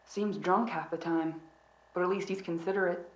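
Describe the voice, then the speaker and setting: An actress playing a skeptical younger subordinate in a game, with a casual, questioning delivery. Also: female voice, on the low side, fairly even intonation, moderate pace, natural voice. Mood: doubtful, casual. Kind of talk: scripted game dialogue. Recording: roomy, echoing voice.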